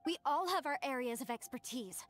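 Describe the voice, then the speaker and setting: High-voiced, earnest young scholar. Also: female voice, expressive, brisk, a bit bright, faint music behind. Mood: defensive. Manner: hesitant, earnest, defensive. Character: timid but earnest young female archaeologist, easily indignant. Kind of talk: anime video game voice acting.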